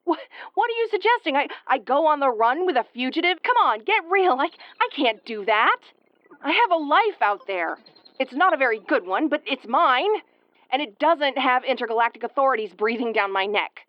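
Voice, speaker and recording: A woman's voice: high-pitched, very animated, moderate pace, no room sound, lo-fi sound. A woman playing a tough, prickly but secretly caring tsundere starship commander in an intimate audio roleplay, brusque and prone to stammering when flustered. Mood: flustered, angry.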